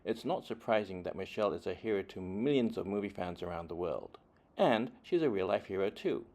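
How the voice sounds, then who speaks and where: Low-voiced man reading a children's story. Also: animated, darkish tone. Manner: dramatic emphasis, deliberate phrasing, varied delivery. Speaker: children's book reader. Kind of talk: expressive read-aloud storytelling for children.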